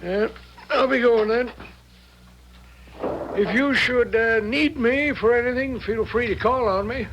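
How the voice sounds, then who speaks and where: A man's voice: extremely high pitch, measured pace, pauses often, fairly bright tone, a little hiss or hum, exaggerated voice. A man playing a folksy, obliging small-town sheriff in a radio drama, plain-spoken and hesitant. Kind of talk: 1970s radio drama acting. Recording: dull old radio drama recording.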